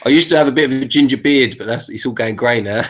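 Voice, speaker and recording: A man's voice: mid-range voice, moderate pace, breathy edge. A middle-aged man hosting a casual online hangout, talking earnestly and repeating words as he gathers his thoughts. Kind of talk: casual group chat.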